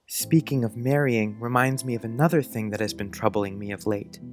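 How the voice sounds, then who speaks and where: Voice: mid-range voice, moderate pace, darkish tone, close to an everyday voice. An actor playing an earnest, precocious young schoolboy who chats dreamily in quaint grown-up phrases, in a dramatized audiobook. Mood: earnest, confiding. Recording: music under the voice.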